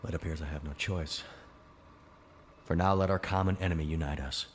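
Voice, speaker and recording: An actor playing a gruff, guarded fugitive warrior in an audio drama, terse and cold. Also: male voice, extremely low pitch, steady tone, fairly quick, a little hiss or hum. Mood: grudging.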